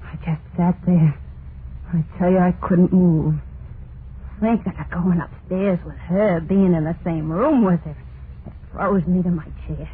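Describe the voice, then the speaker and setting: An actress playing a terrified, unhinged wife in an old-time radio horror monologue, halting and overwrought, full of guilt and dread. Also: female voice, lowish voice, steady tone, natural voice. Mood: haunted dread. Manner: halting, overwrought, drawn-out dramatic delivery. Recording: noisy narrow-band vintage radio recording.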